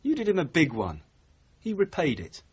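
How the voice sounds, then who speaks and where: Casting: level-headed British detective, measured, dogged, slightly rough voice, 1980s radio play, dull sound. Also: male voice, on the high side, huge pitch swings, heavily acted. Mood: quietly accusing. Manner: terse, measured, accusing. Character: dogged, level-headed male detective sergeant confronting his boss. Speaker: actor in radio drama. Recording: dull, muffled old radio recording.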